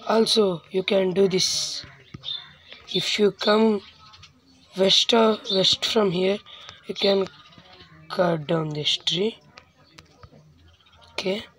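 A woman hosting a let's play with casual, informal gameplay commentary, halting and often repeating phrases. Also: medium pitch, fairly bright tone, slight background noise, rough recording.

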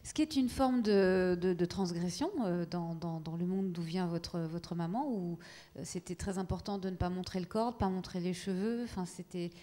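Woman moderator, soft and hesitant, warm mellow tone. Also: lowish voice, normal speed. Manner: hesitant, soft-spoken prompts with fillers. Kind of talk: public conversation on stage. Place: stage in a library hall, seated in armchair.

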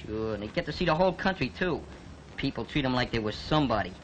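An actor playing a scrappy, defiant young New York street kid with big dreams, talking tough and slangy in an old film. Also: male voice, medium pitch, expressive, fast, a little hiss or hum, close to an everyday voice, poor audio. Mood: boastful.

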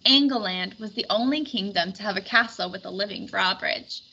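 A woman reading a storybook aloud in expressive scripted narration. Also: mid-range voice, normal speed, creaky. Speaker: storybook reader. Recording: dull recording with faint background noise.

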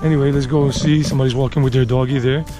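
A man's voice: medium pitch, fairly even intonation, very fast, music in the background. A man of forty-nine chatting casually and thinking aloud as he hosts a walking vlog outdoors on a city street. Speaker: travel vlogger and walking tour host. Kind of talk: casual walking commentary to viewers. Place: outdoors, walking a leafy urban residential street.

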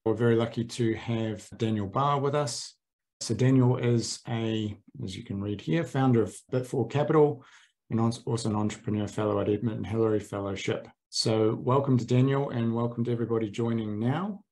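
A man hosting a webinar, giving a brisk, friendly introduction and housekeeping notes over a video call. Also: mid-range voice, steady tone, darkish tone. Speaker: webinar host. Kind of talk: event introduction. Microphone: computer mic on video call.

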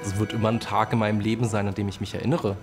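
A man's voice: fairly low pitch, flat delivery, racing. Young man, plain hesitant speech. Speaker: interviewee, witness. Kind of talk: documentary interview. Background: music.

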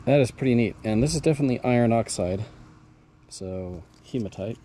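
A man with a mellow, relaxed voice talks viewers through his rock finds, eager and chatty. He is outdoors on an action camera mic, with faint clicks and rustle around him. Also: mid-range voice, a little fast, slight background noise. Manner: enthusiastic, talks to viewers while handling rocks. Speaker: rockhounding vlogger. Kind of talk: casual show-and-tell narration. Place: outdoors at a mine site and a backyard.

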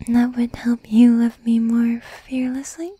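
A woman playing a tender, possessive young demon girlfriend in an affectionate, whispered ASMR roleplay, soft and breathy. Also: on the high side, level tone, a little slow, dry recording. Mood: relieved, adoring.

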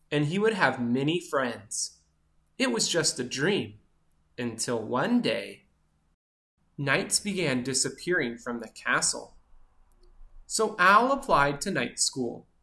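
A storyteller reading a children's picture book aloud in an expressive style. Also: medium pitch, husky.

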